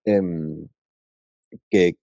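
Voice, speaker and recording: A man from Paraguay co-hosting a podcast, thinking aloud in a relaxed, reflective chat with frequent restarts. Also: low voice, drawn-out words, vocal fry, no room sound, highs cut off. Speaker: podcast co-host. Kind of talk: casual reflective conversation.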